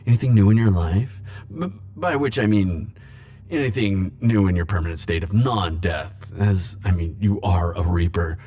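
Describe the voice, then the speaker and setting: A man playing a defensive, lovelorn immortal in an ASMR roleplay, speaking hesitantly with stammers and halting phrases. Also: low voice, dark tone, slight background noise, close to an everyday voice. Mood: playful, chatty. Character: flirtatious, defensive male immortal pining for Grim Reaper. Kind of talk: ASMR roleplay monologue to the listener.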